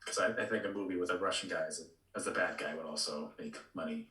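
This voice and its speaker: A man co-hosting a podcast, giving opinions in casual chat with halting, repeated words. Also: low voice, steady tone, bright, forceful tone. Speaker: podcast co-host. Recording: echoey room, distant mic.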